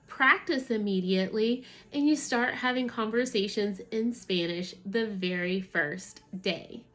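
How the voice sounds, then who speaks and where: A woman in her thirties giving a casual review as a vlogging mom, talking in a conversational, enthusiastic way. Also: a little high. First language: English. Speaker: homeschooling mom reviewing a language curriculum.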